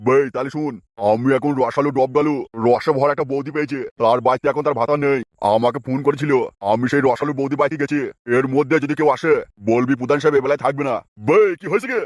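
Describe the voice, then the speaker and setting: A man's voice: medium pitch, fast talker, no room sound, clean studio sound, natural voice. A man voicing a crude, impatient, lecherous village chief in a comic cartoon. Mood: impatient, bossy.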